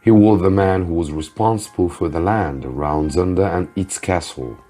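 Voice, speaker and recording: Low husky guy reading a storybook slow and careful for english learners, music behind. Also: warm tone. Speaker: English teacher reading aloud.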